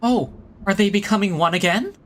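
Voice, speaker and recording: A woman streaming a game, giving live, expressive, exclamatory reactions as she plays. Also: mid-range voice, a little fast. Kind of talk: live gameplay reaction commentary. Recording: game music behind the voice.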